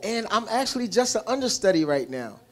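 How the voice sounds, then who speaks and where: A man's voice: high-pitched, very animated, brisk. A man introducing himself as an understudy actor in a restaurant bar, humble and respectful, at a handheld mic.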